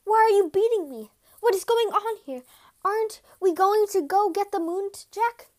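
A greedy, bullying swindler from a storybook fable, acted out by a woman. The voice is high and loud, blustering at everyone in fierce shouts. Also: lively intonation, fast talker. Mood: frantic, pleading.

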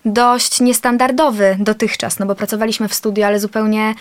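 A woman's voice: mid-range voice, fairly even intonation, brisk. A young woman, a singer and interview guest, chatting casually in a rambling way and laughing often. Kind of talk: casual conversation.

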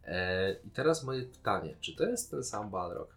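A man speaks in a fairly low voice as a podcast co-host. He discusses a series in a casual, conversational style. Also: sing-song, moderate pace, a bit bright.

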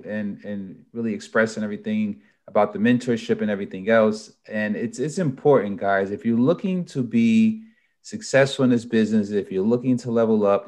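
A man hosting a podcast, thanking his guest and wrapping up the interview in a relaxed, friendly way. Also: on the low side. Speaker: podcast host.

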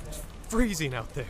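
An actor playing a weary, sarcastic male war correspondent dragged from his bed. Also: a little high. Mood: irritated. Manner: sarcastic, exasperated. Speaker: radio broadcaster figure. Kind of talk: audio drama acting.

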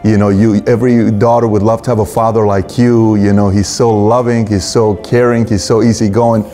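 A man hosting a conversational podcast interview, sometimes halting and repeating words as he forms his thoughts. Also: fairly low pitch, flat delivery, warm tone, faint music behind. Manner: halting, repeats words while forming questions.

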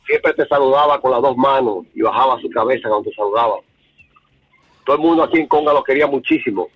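A man's voice: mid-range voice, fast talker, slightly rough, no room sound, muffled recording. A man from the Dominican Republic, raised in Puerto Rico, speaking earnestly as an interviewee and often repeating words while he searches for them. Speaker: interviewee, bar owner. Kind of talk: remote interview.

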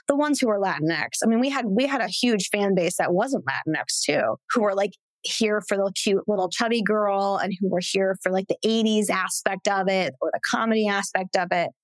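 Woman showrunner on a podcast, frank and chatty, swears a bit, mid pitch, dry sound. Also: dry recording, rough recording. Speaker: TV writer and showrunner, podcast guest. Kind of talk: candid casual conversation.

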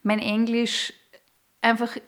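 A woman's voice: a little high, monotone, slow pace. A woman in her thirties with an Austrian accent, a singer-songwriter talking as a podcast guest, candid with fillers and restarts. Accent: Austrian German. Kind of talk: casual podcast interview.

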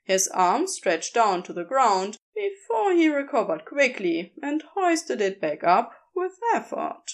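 Slow, high-voiced woman narrating a story. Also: big pitch swings. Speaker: podfic narrator.

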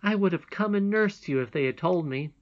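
A woman's voice: fairly low pitch, lively intonation, a little fast, dull audio, pitched above the performer's own voice, lightly acted. A woman voicing a sweet, trusting young princess in a storybook, earnest, emphatic and childlike. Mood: tender, excited. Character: sweet, trusting young princess, little girl, eager and polite.

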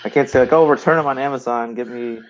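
A man's voice: medium pitch, fast talker, highs cut off. A man with an American accent chatting casually as a podcast co-host, in a hesitant, easygoing way. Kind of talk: casual chat.

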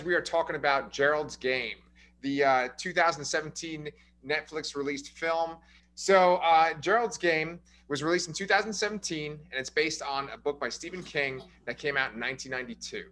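A man's voice: a little high, pushed and bright, a little hiss or hum. A man hosting a casual movie review podcast, upbeat and chatty, recapping the plot with frequent fillers. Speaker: podcast host.

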